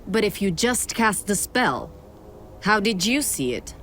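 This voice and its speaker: A woman's voice: mid-range voice, very animated, a little slow, fairly bright tone, faint music behind. Woman as a proud night elf sentry. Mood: alarmed, questioning. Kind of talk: fantasy radio play acting.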